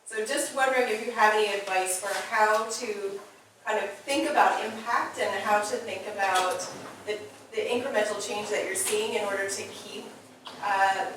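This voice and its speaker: Woman asking the panel a question, earnest, distant in a very echoey hall. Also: mid-range voice. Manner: earnest, inquiring. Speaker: audience member asking a question. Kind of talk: question from the floor. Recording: echoey room, far from mic, faint noise.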